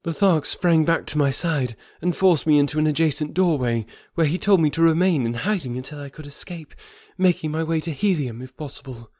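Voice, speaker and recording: A man's voice: on the high side, brisk, hardly any pauses, a little mellow, no echo, studio quality, pitched above the performer's own voice, close to an everyday voice. A man voicing a devoted young woman who speaks fervently in formal, old-fashioned phrasing. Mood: sad.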